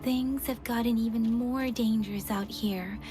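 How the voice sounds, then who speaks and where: A woman acting as an earnest young female soldier in a video game. Her voice sits a little high and sounds careful and watchful. Clear music runs underneath. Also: steady tone, unhurried, lightly acted. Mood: wary, troubled.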